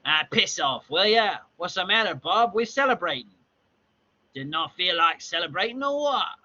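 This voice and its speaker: Man putting on a rowdy Cockney pub drinker, pitched up. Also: animated, normal speed, bright, forceful tone, lo-fi sound, full character voice. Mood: rowdy, chummy.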